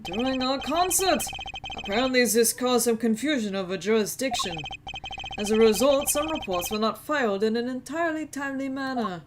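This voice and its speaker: A woman's voice: a little high, expressive, fairly quick, very noisy, close to an everyday voice. A woman voicing a smug, theatrical young male rock-star prosecutor, teasing and assured as in video game dialogue. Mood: smooth, probing. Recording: loud game music behind the voice. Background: game music.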